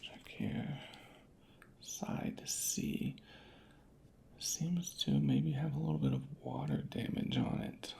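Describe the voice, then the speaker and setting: A soft-spoken ASMR creator murmuring methodically in a breathy, whispery voice, unhurried and close to the microphone. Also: medium pitch, wildly swooping pitch. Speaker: ASMR creator playing a record inspector.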